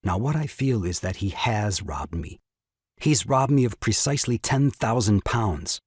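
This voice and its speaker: A man's voice: fairly low pitch, lively intonation, a little slow, breathy edge, dry recording. An actor playing an indignant young father and lawyer in a stage farce, with pompous, emphatic, rhetorical speech. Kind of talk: stage farce audio drama acting.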